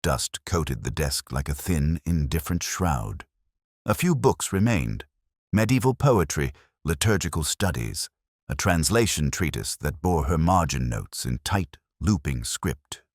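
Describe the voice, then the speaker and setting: A man narrating a scripted first-person detective story in a measured, solemn, literary manner. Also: a bass voice, sing-song, no echo, clean studio sound.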